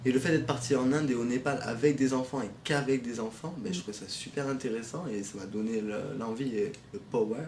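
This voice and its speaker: A man's voice: mid-range voice, fairly quick, hardly any pauses, darkish tone, reverberant, a little hiss or hum. A young man, a former volunteer, telling his experience in a casual testimonial, hesitant with many restarts.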